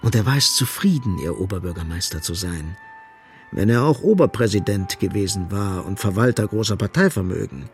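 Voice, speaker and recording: An actor as the grave, ironic narrator of a literary radio play, deliberate and insistent, with a husky, creaky voice. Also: male voice, fairly low pitch, expressive, faint music behind. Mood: ironic, measured.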